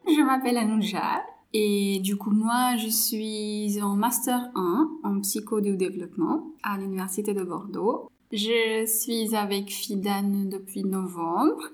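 A Marathi-speaking woman from India in her twenties speaks slowly, pausing often to find her words. Her voice sits in a middle pitch, and her talk has the easy, searching feel of a friendly interview. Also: darkish tone, faint music behind. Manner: hesitant, thinking aloud with frequent pauses. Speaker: psychology master's student and volunteer mentor. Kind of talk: casual interview chat.

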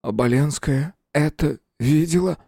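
A man's voice: on the high side, a little slow, creaky, warm tone, husky, no room sound, clean studio sound, voice pushed up, full character voice. A man voicing an anguished, bitter hunchbacked male teacher in an audiobook, fervent and defiant, with breathless indignation. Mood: horrified. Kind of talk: dramatic audiobook character reading.

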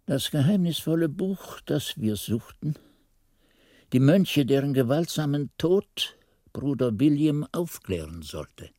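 An actor playing a reflective old monk who recalls his youth, musing and halting as if talking to himself, husky-voiced, in a radio drama. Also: a little high, very animated, mellow, no echo, clean studio sound, exaggerated voice. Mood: troubled recollection. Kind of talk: 1980s German radio drama narration.

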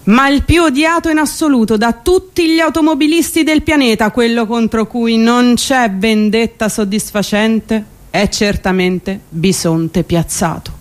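A woman's voice: fairly high pitch, measured pace, hardly any pauses, lightly acted. A woman playing a wry guide to an urban jungle of drivers, explaining it like a documentary in a comic radio play. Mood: emphatic. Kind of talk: scripted comic radio theatre narration.

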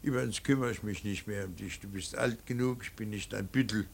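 An actor playing a stern, anguished middle-aged father in a radio drama, speaking in a deliberate, halting and emphatic way. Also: lowish voice, gravelly. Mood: strained reproach. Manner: deliberate, halting, emphatic, swinging from muttered to pleading. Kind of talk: German radio drama acting.

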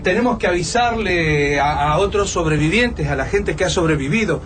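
A man's voice: on the high side, animated, normal speed, fairly bright tone. An actor with an Argentine accent playing an anxious, eager man full of plans in a radio drama. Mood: anxious, excited. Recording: clearly noisy radio play track.

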